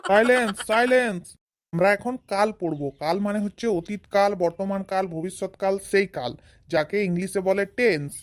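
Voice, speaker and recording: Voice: medium pitch, a little fast, lightly acted. A performer voicing a stern, exasperated male schoolteacher in a comedy cartoon, lecturing and scolding. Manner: scolding, didactic.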